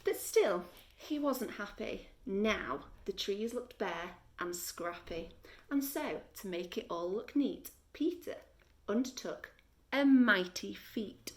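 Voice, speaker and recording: A woman reading a children's story aloud like a teacher, deliberate and expressive, with dramatic pauses. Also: medium pitch.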